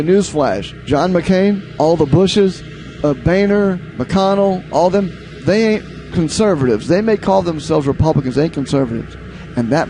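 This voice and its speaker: An American man giving opinionated political commentary as a show host, emphatic and incredulous, repeating phrases for effect. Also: a little high. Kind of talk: opinionated political commentary, reading headlines aloud.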